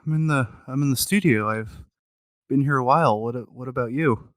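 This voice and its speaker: A man's voice: medium pitch, brisk, no room sound, clean studio sound. A man co-hosting a podcast in casual chat, self-deprecating and mildly profane. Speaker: podcast co-host.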